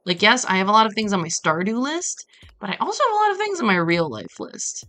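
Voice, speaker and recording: A woman from Brazil chatting casually as she streams a game, telling stories in an animated way with playful asides. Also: medium pitch, fast talker. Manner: animated storytelling with acted-out quotes and playful asides. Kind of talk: casual chat while playing a game on a livestream.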